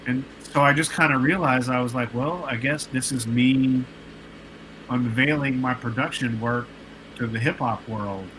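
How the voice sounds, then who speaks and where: A man in his late forties, a guest telling stories in a relaxed, rambling way with many fillers and restarts on a podcast. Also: medium pitch, normal speed, slight background noise, low-quality recording. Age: 40s. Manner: laid-back, rambling, lots of fillers and restarts. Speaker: guest, producer and artist. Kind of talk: casual podcast interview storytelling.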